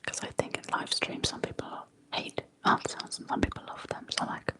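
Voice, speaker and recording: An ASMR streamer from Germany, talking in a soft, unvoiced whisper with many fillers during a live stream at home. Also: normal speed. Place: apartment at home. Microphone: close microphone.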